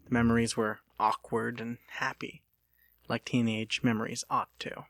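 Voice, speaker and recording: A man narrating an audiobook as a dramatic reading, with theatrical, expressive storytelling. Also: medium pitch, moderate pace, vocal fry, no room sound, studio quality.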